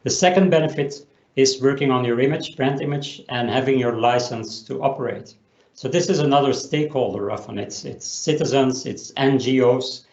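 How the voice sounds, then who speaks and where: A man gives an explanatory business webinar presentation, often pausing on an uh. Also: mid-range voice, darkish tone. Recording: roomy echo, dull lo-fi sound.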